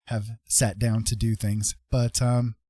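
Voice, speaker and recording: A man's voice: fairly low pitch, little pitch movement, takes it slowly, mellow, dry recording, clean studio sound. A man chatting casually and laid-back on a livestream, thinking aloud as he paints. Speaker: streamer, digital painter. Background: piano music.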